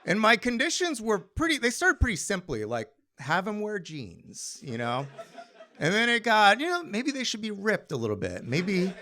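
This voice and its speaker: American man storytelling with lively, swinging pitch. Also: moderate pace. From Maryland, United States. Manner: wry, conversational, acts out quoted dialogue. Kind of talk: live personal storytelling to an audience.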